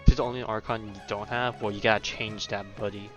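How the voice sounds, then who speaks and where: A man's voice: lowish voice, little pitch movement, fast talker, over music. A man giving casual, offhand reaction commentary as he streams a video game. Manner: offhand, chatty.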